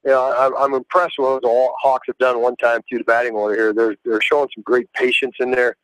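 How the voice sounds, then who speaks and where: A man's voice: mid-range voice, level tone, brisk, a bit bright, no echo, rough recording. An American man giving casual, rambling color commentary on a live baseball radio broadcast. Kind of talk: casual live game analysis.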